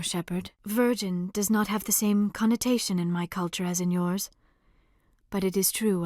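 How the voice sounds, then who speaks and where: A woman voicing a shy, earnest young female alien scientist in a video game, speaking in formal, measured phrases. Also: medium pitch, a little mellow, no echo, studio quality, lightly acted. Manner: formal, precise, measured phrasing with few contractions. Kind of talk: dramatic video game voice acting.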